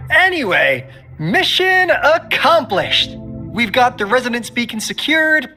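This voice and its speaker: Sly young male game agent, breezy and teasing, very lively pitch, music underneath. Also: full character voice. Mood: cheerful. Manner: casual, teasing, theatrical. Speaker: intelligence consultant character. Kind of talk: video game voice acting.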